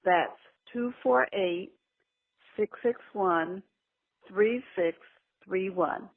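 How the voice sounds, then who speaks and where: An actress playing an older grandmother leaving a halting, plainspoken voicemail in an audio play, carefully repeating details. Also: medium pitch. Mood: matter-of-fact, hesitant. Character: grandmother leaving a halting, matter-of-fact phone message. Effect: telephone voicemail. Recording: tinny narrow phone line.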